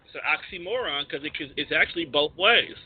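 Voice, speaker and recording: A man's voice: a little high, animated, brisk, husky. A man co-hosting a casual radio discussion, speaking bluntly in slangy, profane language. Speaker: radio show co-host. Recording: tinny, band-limited phone-line sound.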